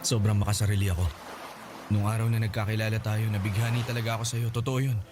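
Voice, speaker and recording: An actor playing a selfish young Filipino lover caught cheating, confessing and apologetic in a radio drama. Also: male voice, lowish voice, little pitch movement, quick pace, noisy background, natural voice. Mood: guilty, confessing. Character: selfish cheating married lover, guilty and pleading.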